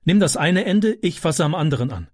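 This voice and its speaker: A determined mother barks clear orders in a storybook, as a man puts her on. The pitch is lifted and the tone slightly husky. The voice is dry and close. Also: very animated, fairly quick, a little mellow, dry recording. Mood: commanding. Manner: firm, commanding. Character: determined, capable mother taking charge.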